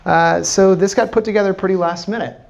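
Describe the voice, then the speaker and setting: A man's voice: high-pitched, steady tone, brisk, creaky, muffled recording. An American man from Washington, D.C., a startup founder giving a casual live presentation to a small audience, talking loosely with lots of fillers and casual swearing. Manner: loose and chatty, many fillers, swears freely.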